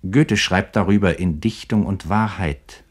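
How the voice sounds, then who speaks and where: Deep-voiced male literary narrator. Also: a little slow. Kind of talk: scripted literary commentary, German radio feature.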